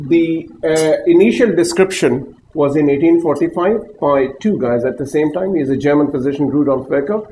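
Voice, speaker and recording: A middle-aged man from Pakistan, a consultant haematologist, giving a conference talk to patients in a small room, halting and full of fillers. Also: fairly high pitch, warm tone, a little hiss or hum, rough recording. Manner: hesitant, many fillers, rambling asides.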